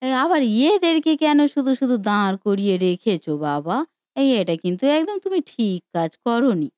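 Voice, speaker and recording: A woman's voice: a little high, very animated, moderate pace, a little mellow, no room sound, clean studio sound. A woman voicing a kindly, elderly village granny in a cartoon story, affectionate and exclaiming as she addresses others like her children. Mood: mildly fussing. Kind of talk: Bengali cartoon story voice acting.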